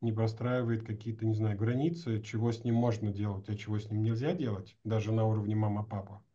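Low, very mellow man, casually explaining. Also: little pitch movement, quick pace. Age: around 40. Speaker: host of online meeting. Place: small indoor room at a desk. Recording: slightly dull video-call audio.

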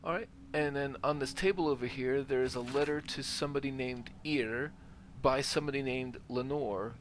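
A man's voice: medium pitch, moderate pace, slight background noise. A man giving casual gameplay commentary, thinking aloud as he plays through a video game. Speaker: gamer narrating own playthrough. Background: game ambience.

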